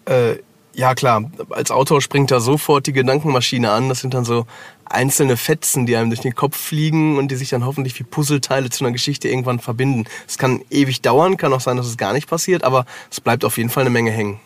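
Thoughtful man, mid-pitched voice. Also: fairly even intonation, fairly quick. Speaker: guest, author. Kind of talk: casual conversation, reflective.

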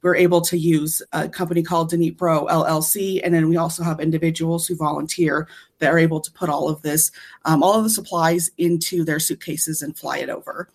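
A woman's voice: sits low, flat delivery, brisk. A woman speaking as an interview guest, explaining volunteer work in an earnest, conversational way.

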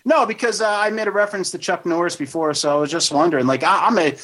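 A man's voice: high-pitched, fast, fairly bright tone. A man in his late thirties hosting a podcast from a studio, rambling and swearing casually, laughing often. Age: 30s. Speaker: podcast host.

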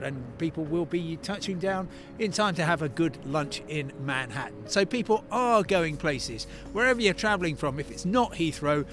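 A man's voice: fairly high pitch, background noise. A British man hosting a travel podcast, giving unscripted, chatty news commentary on location outdoors at an airport. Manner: chatty asides, plane-spotting digressions. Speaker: travel podcast host and correspondent.

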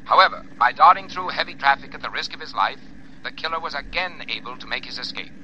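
A man's voice: medium pitch, quick pace, noisy background. A man playing a formal newsman reading a crime bulletin, 1940s newscast style, heard over a car radio. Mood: urgent, formal. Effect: heard through a car radio.

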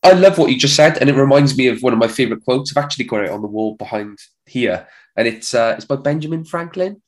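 A woman's voice: very deep voice, expressive, fast talker. A woman hosting a podcast, praising her guest and framing long, thoughtful questions. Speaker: podcast host. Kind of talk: interview questions.

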